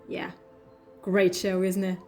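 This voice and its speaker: A woman's voice: on the low side, completely flat delivery, slow pace, dark tone. A woman playing a playful, reassuring alien heroine in a fan audio drama, coaxing and teasing as she talks. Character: playful, reassuring time-travelling alien heroine, a voice in a boy's head. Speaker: voice actor. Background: music.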